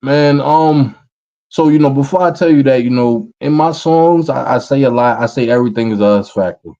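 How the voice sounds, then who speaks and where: A man in his thirties with a New York accent, a rapper answering interview questions in a relaxed way. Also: mid-range voice, moderate pace, highs cut off. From Brooklyn, New York, USA. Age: 30s. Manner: relaxed, repeats "feel what I'm saying". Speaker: rapper guest.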